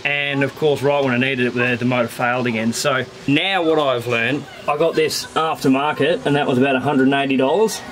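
A man's voice: medium pitch, fairly even intonation, brisk, a bit bright, a little hiss or hum. Laid-back Australian man explaining things. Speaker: sailing vlogger. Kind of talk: casual explanatory vlog talk. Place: aboard a small sailboat, in the cabin and on deck.

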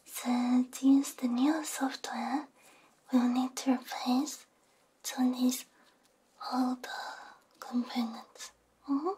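A woman doing an ASMR roleplay as a repair technician, murmuring softly with short hums, very close on a binaural microphone. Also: high voice, flat delivery, slow, pauses often, background noise. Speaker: ASMR host. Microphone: close binaural ear microphone.

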